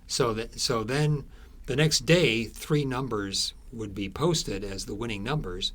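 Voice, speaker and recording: A man being interviewed as an author, telling stories conversationally in halting, explanatory phrases with thoughtful pauses. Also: medium pitch, fairly bright tone.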